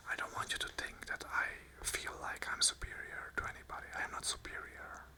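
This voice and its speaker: An ASMR host whispering an unscripted monologue of earnest, repeated affirmations in short phrases. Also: a little fast. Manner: whispers the whole way, soft and unvoiced.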